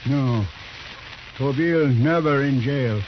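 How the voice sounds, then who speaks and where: A man's voice: mid-range voice, takes it slowly, close to an everyday voice. An actor playing a proud, stoic old Native man in a radio western, speaking solemnly in terse broken English. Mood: solemn pride. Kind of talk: 1950s radio western acting. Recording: hissy, muffled old radio recording.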